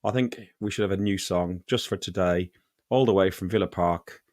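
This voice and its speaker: A man hosting a podcast who talks in a lively, jokey way and often stumbles over his words. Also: low voice, moderate pace, no echo, clean studio sound. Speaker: podcast host. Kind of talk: casual chat.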